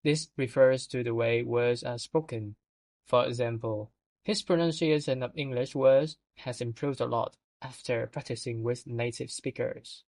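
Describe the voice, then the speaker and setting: A man's voice: medium pitch, a little slow, gravelly, a little mellow. A man co-hosting a scripted vocabulary lesson, reading out definitions with small stumbles. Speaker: podcast co-host.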